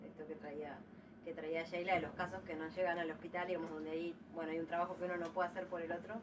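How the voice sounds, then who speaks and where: Quick-talking Argentine woman, off-mic. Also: fairly low pitch, flat delivery, recorded from a distance. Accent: Argentine, Rioplatense. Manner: tentative, hesitant, thinking aloud. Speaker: interviewer from a hospital psychology residency. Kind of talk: informal interview conversation. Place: room at a hospital talk. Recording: off-mic, clearly noisy room.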